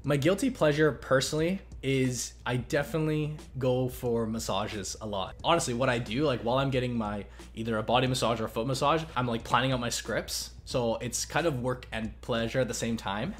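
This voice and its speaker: A man hosting a casual question-and-answer chat on investing, talking in a relaxed, rambling way with many fillers and restarts. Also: medium pitch, brisk, few pauses. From Canada. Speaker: investing channel host.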